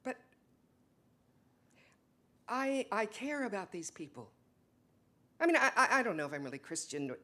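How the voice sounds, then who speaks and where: A woman performing an earnest, conflicted Mennonite wife in a dramatic stage reading, halting and emotional. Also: mid-range voice, sing-song, bright, forceful tone.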